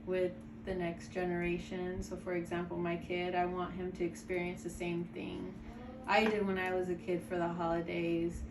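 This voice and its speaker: A woman's voice: on the low side, completely flat delivery, normal speed. A woman answering interview questions about her family traditions in a plain, matter-of-fact way. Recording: echoey room, distant mic, background noise.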